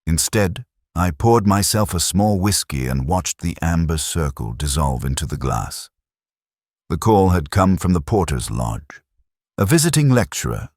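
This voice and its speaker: Very deep male detective-story narrator. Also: a little mellow, slightly rough, dry recording, clean studio sound. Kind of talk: scripted first-person detective story narration.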